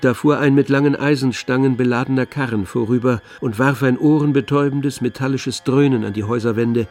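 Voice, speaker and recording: Guy narrating a classic novel radio play, mid-pitched voice, music behind. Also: fairly even intonation, a little fast, a little mellow. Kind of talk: scripted literary narration in a radio drama.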